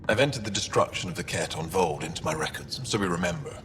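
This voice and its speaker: A man playing a gentle, earnest alien record keeper in a video game, speaking thoughtfully and with some hesitation. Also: deep voice, animated, quick pace, slight background noise. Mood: troubled. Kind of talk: naturalistic video game acting.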